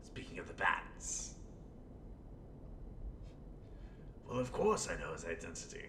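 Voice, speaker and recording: Male amateur performer as scheming clown villain, low gravelly voice, sardonic rambling, erratic muttering and outbursts, roleplay monologue, roomy echo. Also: pushed and bright, full character voice. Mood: menacing, gloating. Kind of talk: in-character audio roleplay monologue. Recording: roomy echo, faint rumbling background noise.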